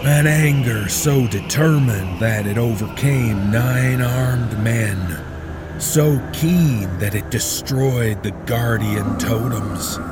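A man giving slow, dramatic, scripted horror narration for a podcast. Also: medium pitch. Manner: slow, deliberate, dramatic delivery. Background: music.

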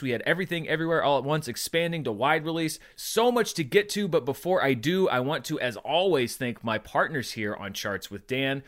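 A man's voice: mid-range voice, a bit bright. A man hosting a show, giving enthusiastic recap commentary straight to his audience. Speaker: show host. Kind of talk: upbeat box office recap commentary.

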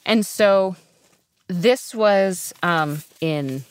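A woman's voice: mid-range voice, drawn-out words, clear, resonant tone, dry recording. A woman reporting in a conversational, informal storytelling style, leaning on key phrases. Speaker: radio science correspondent and reporter.

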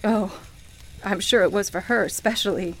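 A woman's voice: medium pitch, steady tone, moderate pace, a bit bright, poor audio. An actress in a radio play playing a sincere, wistful woman who reminisces hesitantly about her life. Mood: fond, nostalgic. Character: wistful woman grieving her beloved grandmother at Christmas. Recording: music playing behind the voice. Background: music.

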